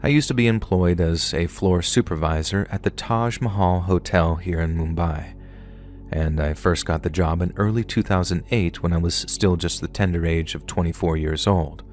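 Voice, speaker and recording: A man narrating true horror stories submitted by viewers, in a measured, unhurried way. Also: low voice, fairly even intonation, darkish tone, soft background music. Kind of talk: scripted horror story narration.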